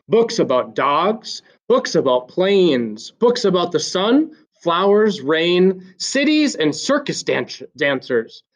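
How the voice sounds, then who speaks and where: A man reading a children's story aloud like a teacher, with expressive, deliberate emphasis. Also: on the high side. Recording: slightly dull, lo-fi sound.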